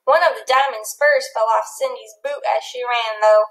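A woman narrating a children's storybook in an expressive read-aloud style. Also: fairly high pitch, moderate pace.

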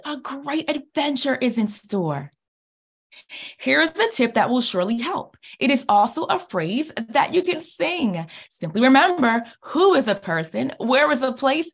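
Woman, 30s, American. Expressive, encouraging children's educator, higher pitch with creaky edges. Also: unhurried. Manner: clear, encouraging teacher talk, expressive. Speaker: speech pathologist and children's author. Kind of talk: children's read-aloud with questions. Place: small indoor office, on a video call.

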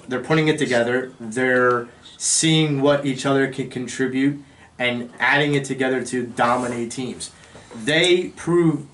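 A man's voice: medium pitch, a bit bright, slight background noise. An American man casually discussing sports as a podcast panelist, recorded on a studio microphone. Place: small indoor podcast studio. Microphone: boom-arm studio microphone.

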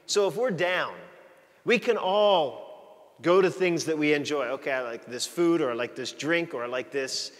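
Middle-aged male priest, fairly high voice, relaxed joking sermon delivery, long church reverb. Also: moderate pace. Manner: chatty, joking with the congregation. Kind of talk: conversational sermon. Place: large church, walking the aisle before the altar.

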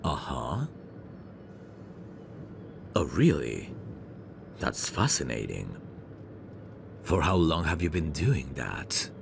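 Man playing very deep, gravelly suave mafia heir. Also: sing-song, slow, long pauses, noisy background, heavily acted. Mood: warm, admiring. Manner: smooth-talking, courtly, flirtatious. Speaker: voice actor. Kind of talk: ASMR roleplay, intimate one-sided dialogue.

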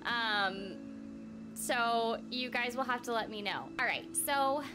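An excitable, giggly young woman chatting casually on a live game stream, often repeating her phrases, close on a desk microphone. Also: on the high side, takes it slowly. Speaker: streamer and voice actress. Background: game music.

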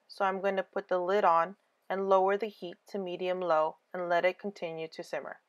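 A woman giving a scripted cooking voice-over, calm clear step-by-step instructions for a home recipe. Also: mid-range voice. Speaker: cooking channel host.

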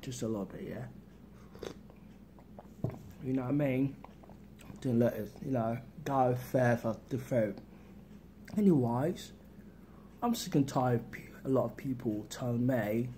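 A laddish Cockney man rambles through a comic monologue, checking in with his listener again and again. His voice sits mid-range with a mellow, relaxed warmth. A faint ambient hum lies under him. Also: mid-range voice. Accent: London Cockney. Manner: laddish and chatty, keeps asking "you know what I mean" and saying "mate". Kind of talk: comic casual monologue to camera.

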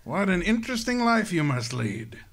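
Jovial wealthy crime boss, gravelly fry, forceful bright tone, effusive, genteel, radio play. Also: male voice, high-pitched, big pitch swings, normal speed, a little hiss or hum, exaggerated voice. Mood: effusive, genial. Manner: effusive, genteel, repeats words with relish. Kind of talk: old-time radio drama acting.

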